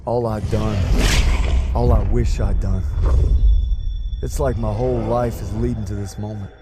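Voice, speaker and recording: An actor playing a war-weary young American soldier, speaking quietly and gravely. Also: male voice, fairly low pitch, fairly even intonation, a little slow, lightly acted. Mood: reflective. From Texas. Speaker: soldier, game protagonist. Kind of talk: game cinematic voice acting. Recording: voice under loud score. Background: music.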